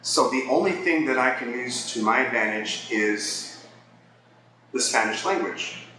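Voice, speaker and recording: Male vlogger, mid-pitched, conversational and explanatory, talking to viewers in a very reverberant space with people audible behind. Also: lively intonation, measured pace, bright, forceful tone, a little hiss or hum. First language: English. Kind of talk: casual advice talk to viewers. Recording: echoey space with crowd chatter behind.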